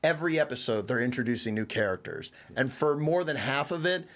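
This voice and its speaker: A man's voice: medium pitch, animated, brisk, fairly bright tone. A man giving a casual, conversational review as a show's reviewer, rambling informally. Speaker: reviewer on a show.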